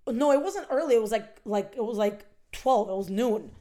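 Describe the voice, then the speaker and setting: A young woman in casual chat, her voice loud and animated and often breaking into laughter. She sits a little above the usual female range as she tells a story to a friend. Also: a little high, moderate pace. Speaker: podcast host.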